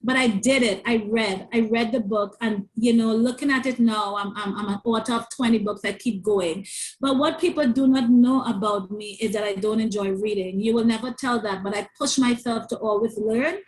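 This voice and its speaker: A woman's voice: fairly high pitch, normal speed, poor audio. A woman with a stutter and a lisp, earnestly telling her story as an interview guest, often repeating words as she restarts. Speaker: author and parent coach.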